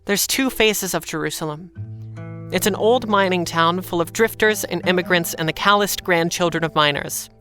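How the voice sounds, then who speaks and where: A woman's voice: fairly low pitch, normal speed, fairly bright tone, soft background music, lightly acted. An actress playing a jaded, guarded young woman in a noir audio drama, speaking in a dry, weary, restrained way. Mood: weary, bleak. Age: young adult. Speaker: lead character and narrator in an audio drama.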